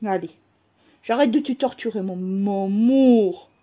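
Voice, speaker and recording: A woman's voice: medium pitch, measured pace, mellow, highs cut off. A woman cooing baby talk to her pets at home in a sing-song voice, full of nonsense words. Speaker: vlogger. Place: at home, indoors.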